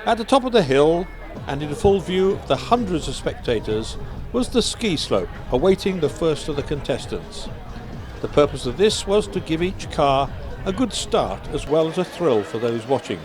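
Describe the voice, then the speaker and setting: A man's voice: on the high side, big pitch swings, normal speed. A man reading a scripted newsreel narration about an event.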